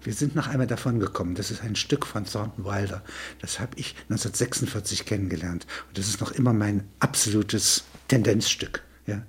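An older man with a husky voice, speaking reflectively with frequent questioning tags. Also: fairly low pitch, a little fast, slight background noise. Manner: discursive, punctuated with questioning tags. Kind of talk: reading prose and reflective conversation.

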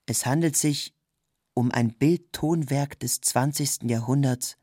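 A man with a husky voice delivering scripted narration like a formal announcement. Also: medium pitch, measured pace, warm tone, a little hiss or hum.

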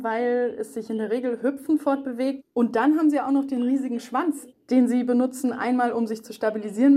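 A woman's voice: a little high, warm tone. A woman animal expert explaining things carefully for a young audience. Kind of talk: explanatory interview answers for children.

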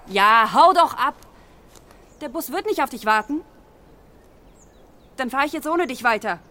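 A woman's voice: high voice, expressive, measured pace, sharp tone, exaggerated voice. An actress playing a hot-tempered young woman traveller in a naturalistic radio drama, blunt and defiant. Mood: angry, defiant.

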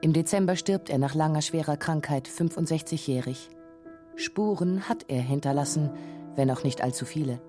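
A woman's voice: sits low, moderate pace, over music. A woman reading scripted narration for a radio documentary feature.

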